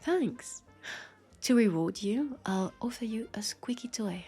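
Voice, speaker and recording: A woman playing a centuries-old female vampire detective speaks with a French accent and a creaky, husky voice. She is wry, playful and battle-weary, taking her time as in an intimate audio roleplay. Soft music plays behind her. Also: on the high side, expressive, heavily acted. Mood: teasing. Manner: unhurried, conversational, with pauses and sighs.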